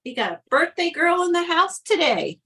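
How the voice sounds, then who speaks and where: A woman's voice: very high-pitched, very animated, normal speed, sharp tone. A woman in her fifties joining a sewing livestream, chatting and cheering on the host. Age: 50s. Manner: encouraging, laughs easily. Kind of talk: casual chat. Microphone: phone.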